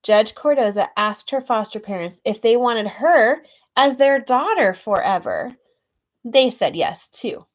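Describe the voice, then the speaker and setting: High-voiced woman reading a storybook. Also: lively intonation, measured pace. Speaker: children's author reading own book aloud. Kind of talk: storybook read-aloud with chatty asides to children.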